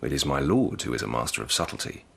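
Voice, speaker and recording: An actor playing a polite, persistent police lieutenant of humble birth, measured and deferential yet probing, in a radio drama. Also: male voice, extremely low pitch, expressive, creaky, fairly bright tone, breathy edge, exaggerated voice.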